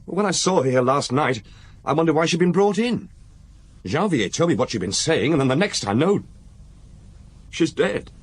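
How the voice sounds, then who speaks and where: A young actor playing an earnest, hesitant junior police detective in a radio drama. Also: male voice, fairly high pitch, sing-song, normal speed, long pauses, slightly rough. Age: young adult.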